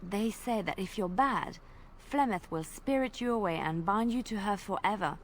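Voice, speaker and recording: A woman telling an old legend in character during a tabletop roleplay, measured and dramatic, at times dropping to a hush. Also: medium pitch, animated, a little hiss or hum.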